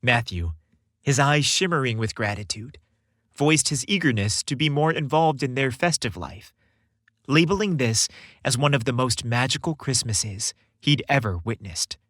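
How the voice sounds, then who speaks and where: Animated male storyteller in a dry studio. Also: medium pitch, normal speed, no echo. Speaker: podcast host and storyteller. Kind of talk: scripted storytelling narration.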